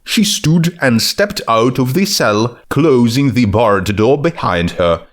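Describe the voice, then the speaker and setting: A man's voice: mid-range voice, expressive, slow. A man reading a piece of comic fiction aloud as its narrator, in scripted storytelling style.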